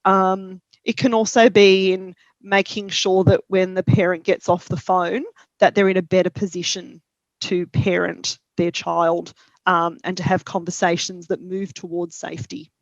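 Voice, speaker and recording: A woman with an Australian accent, a parent helpline counsellor speaking calmly and reflectively in a webinar discussion. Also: lowish voice, measured pace, no echo, dull audio.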